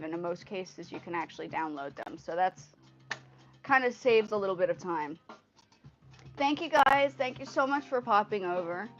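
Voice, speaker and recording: A woman from Indonesia, a native Indonesian speaker, hosting a casual livestream in a rambling, animated way, often repeating her phrases. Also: medium pitch. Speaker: livestream host. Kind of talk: casual livestream chat with viewers. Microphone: earpiece mic on a phone. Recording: dull lo-fi livestream audio through earpiece mic.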